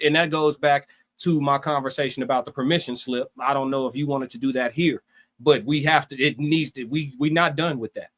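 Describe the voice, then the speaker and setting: A man with a fairly high-pitched voice chats casually as a live-stream co-host. He is animated and opinionated, leaning on slang and repeating his phrases to drive them home.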